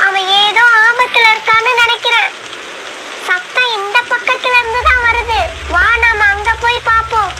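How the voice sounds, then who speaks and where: A small, sensible young bird in a children's cartoon story. The voice is extremely high and childlike, with an earnest manner. Loud rainy background noise runs under it. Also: female voice, fairly even intonation, normal speed. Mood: worried. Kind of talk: animated children's story voice acting. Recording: noisy track with loud rain ambience.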